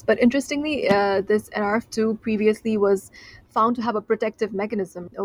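A woman's voice: medium pitch, dry recording. Young woman explaining science, dry sound. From Nepal. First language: Nepali. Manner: explains science slowly with fillers and hedges. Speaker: PhD student guest. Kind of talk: radio interview.

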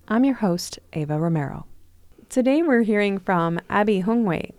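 A woman hosting a radio show in a studio, reading scripted introductions and asking questions in a calm, deliberate way. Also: medium pitch, dry recording. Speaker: radio host.

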